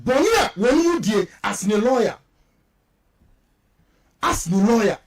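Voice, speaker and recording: A man's voice: very high-pitched, sing-song, takes it slowly. A man with a Ghanaian accent giving heated, indignant political commentary on radio, mixing languages and repeating words for emphasis. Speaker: radio show guest commentator.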